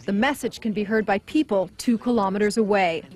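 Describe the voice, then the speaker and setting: A woman's voice: medium pitch, brisk, a little mellow. A woman giving a broadcast news report as a TV reporter. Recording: clear background street and vehicle noise.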